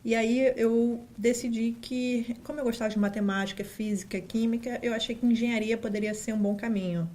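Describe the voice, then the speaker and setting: A woman's voice: on the high side, steady tone, brisk, few pauses, warm tone. A woman with a Carioca Brazilian accent, a guest in an office, telling her story in a chatty way with fillers and acted-out quotes. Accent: Carioca Brazilian Portuguese. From Rio de Janeiro, Brazil. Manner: chatty, many fillers and restarts, acts out quotes. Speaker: guest, data scientist. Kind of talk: casual interview storytelling.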